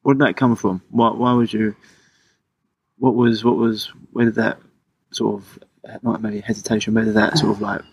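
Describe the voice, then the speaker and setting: A man's voice: lowish voice, level tone, normal speed, muffled recording, slight background noise. A man hosting a podcast, chatty and rambling as he asks his questions. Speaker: podcast host. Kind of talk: podcast conversation.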